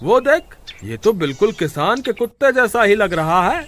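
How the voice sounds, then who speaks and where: A man voicing an eager, sly village crook in a moral-story cartoon, with exaggerated, drawn-out calls. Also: high voice, big pitch swings, background noise. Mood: excited, sly.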